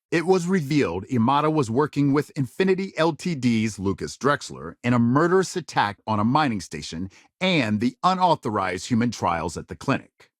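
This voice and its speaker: A man's voice: medium pitch, dry recording, clean studio sound. Emphatic sci-fi male news anchor. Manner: measured, emphatic newsreader delivery. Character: polished, earnest male sci-fi news reporter. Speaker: news anchor in a video game. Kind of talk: scripted news broadcast reading.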